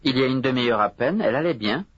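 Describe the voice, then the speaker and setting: A man with a husky, slightly breathy voice answers drill prompts in a careful, measured way, each phrase articulated clearly. The sound is dull and lo-fi, recorded close and dry with no room around it. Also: medium pitch, lively intonation, a bit bright, no room sound. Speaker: language course model speaker. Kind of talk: scripted language drill responses.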